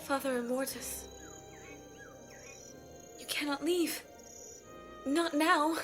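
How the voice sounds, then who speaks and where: A woman's voice: high voice, slow pace, fairly bright tone, faint music behind. A woman playing a haughty, bitter, selfish figure in a fantasy audio drama, scornful and imperious. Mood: urgent.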